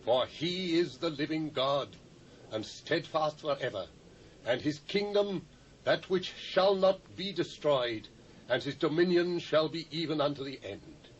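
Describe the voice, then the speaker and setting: A man's voice: a little high, expressive. Grand, solemn British king, muffled tape. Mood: solemn, exalted. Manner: grand, declamatory, drawn-out solemn delivery. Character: anguished, proclaiming ancient king, solemn and commanding. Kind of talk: dramatised Bible reading. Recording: muffled old cassette with faint hiss.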